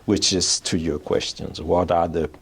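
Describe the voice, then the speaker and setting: Gravelly low-voiced Danish-born man. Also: steady tone, unhurried. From Denmark. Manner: explanatory, self-restarting. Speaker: payments strategy executive, guest. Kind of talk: interview answers. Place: office in Oslo.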